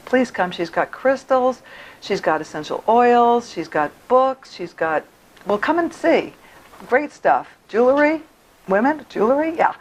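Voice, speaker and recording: Chatty hoarse woman hosting an interview. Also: mid-range voice, poor audio. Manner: chatty, laughs easily. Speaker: interview show host. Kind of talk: casual interview.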